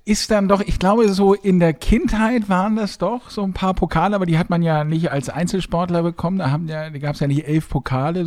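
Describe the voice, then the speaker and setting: Higher-voiced man chatting. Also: darkish tone. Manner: self-deprecating, playful. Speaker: podcast co-host. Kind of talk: casual chat.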